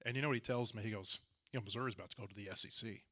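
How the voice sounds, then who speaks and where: A man's voice: lowish voice, very fast, pushed and bright. A man hosting a casual interview, informal and full of anecdotes. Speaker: host and sports journalist interviewing a guest.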